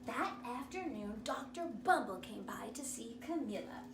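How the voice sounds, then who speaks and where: High, animated woman storyteller in echoey room. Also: normal speed. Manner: theatrical, dramatic emphasis. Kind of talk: animated children's storybook read-aloud. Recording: echoey room, distant mic, clear noise.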